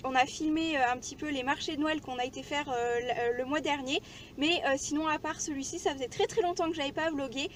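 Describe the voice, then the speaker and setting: A woman with a high-pitched voice chats informally, as if filming a casual vlog. Her delivery is chatty and everyday. The recording carries some light background noise. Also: fairly even intonation, fast. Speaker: vlogger.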